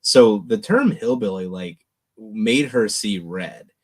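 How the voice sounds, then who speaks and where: Young guy, low voice that swings around a lot, sarcastic, chatty. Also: takes it slowly. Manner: animated, sarcastic, mocking voices. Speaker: podcast co-host. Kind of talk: casual chat.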